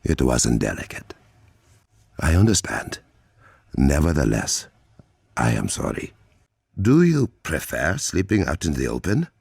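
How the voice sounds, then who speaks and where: An actor playing a dignified Wakandan warrior king in a video game, with an African accent, a gravelly husky voice and formal, measured, dryly witty phrasing. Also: extremely low pitch, sing-song, darkish tone, no room sound, studio quality, exaggerated voice. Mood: apologetic, formal. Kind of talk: video game character dialogue.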